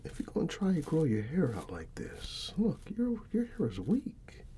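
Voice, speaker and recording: A middle-aged American man doing a soft-spoken ASMR barber roleplay, breathy and often near a whisper, with an unhurried, halting, fatherly manner. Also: a little high, huge pitch swings, a little hiss or hum. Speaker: ASMR performer playing a barber.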